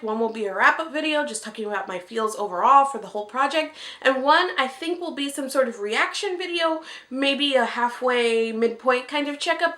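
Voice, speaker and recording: High-pitched, playful young woman vlogger, echoey room. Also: sharp tone. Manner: playful, self-deprecating, jokey asides. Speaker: video blogger, co-host of a read-along. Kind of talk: casual, scripted-feeling vlog chat to camera. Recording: roomy, echoey indoor sound.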